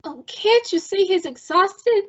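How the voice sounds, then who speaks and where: A woman's voice: very high voice, animated, slow, full character voice. A woman playing an anxious, protective young woman in an old-time radio drama. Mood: pleading. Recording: dull lo-fi audio.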